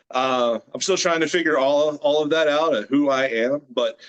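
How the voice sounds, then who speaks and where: A man in his late thirties, a grip strength athlete from the United States, chatting as a guest on a video call in a relaxed, rambling way with many fillers. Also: on the high side, unhurried, dull audio. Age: 30s. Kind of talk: casual interview chat.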